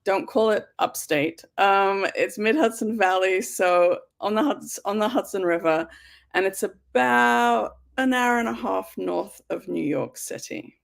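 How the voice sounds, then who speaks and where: An English woman speaking with a gravelly, creaky edge, talking through her career as a historian and author. She is chatty and relaxed, dotting her answers with ums, and sounds like she is on a video call. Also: medium pitch, a little slow. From United Kingdom. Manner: chatty, frequent ums and soft laughs. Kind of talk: conversational interview answers.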